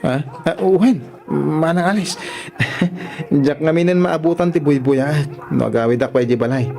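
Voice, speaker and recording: An actor plays a bashful young man in a radio drama. His voice is on the high side, very creaky and soft-edged, and he stammers and hesitates as if flustered. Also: normal speed, soft background music. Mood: flustered, hesitant. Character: shy, flustered young man tempted by his sister-in-law.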